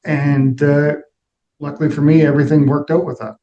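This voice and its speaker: A man's voice: on the high side, flat delivery, moderate pace, highs cut off, slight background noise. A middle-aged man answering interview questions in a reflective, plain-spoken, conversational way. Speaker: interview guest, aviation executive.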